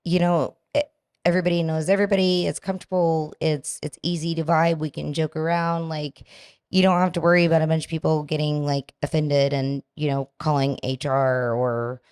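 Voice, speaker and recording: A woman's voice: low-pitched, level tone, a little fast, clean tone. A woman guest on a podcast, chatting casually and laughing easily about working as a bar rep. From not local to the area. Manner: chatty, laughs easily, loud "mom voice" she says rarely comes out.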